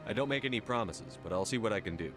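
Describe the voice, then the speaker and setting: A brisk human commander, voiced by a man, with a lowish voice. He speaks quickly and plainly, clipping his lines like orders. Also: animated, over music, lightly acted. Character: brisk human male military commander, no-nonsense. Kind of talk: video game cutscene dialogue.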